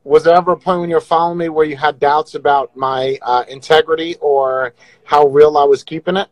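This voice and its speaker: A man's voice: fairly high pitch, fairly even intonation, brisk, no room sound, poor audio. A man hosting a casual livestream, teasing and joking crudely with viewers on video calls. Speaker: livestream host.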